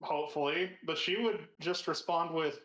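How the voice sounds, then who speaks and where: A man's voice: a little high, fairly even intonation, moderate pace, fairly bright tone. A man playing a tabletop game, asking questions hesitantly and often rephrasing, in a roomy recording. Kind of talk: casual game chat. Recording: roomy, echoing voice pickup.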